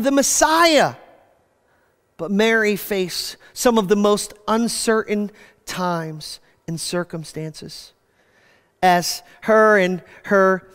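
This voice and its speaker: A man in his forties preaching a sermon from a church stage, animated, his voice dropping low at the ends of phrases. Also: big-room sound. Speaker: pastor. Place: church stage with screens, indoors.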